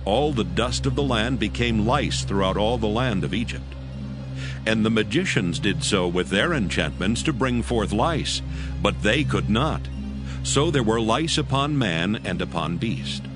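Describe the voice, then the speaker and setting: A man giving a solemn, formal scripted narration of scripture. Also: fairly low pitch. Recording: prominent music and effects behind.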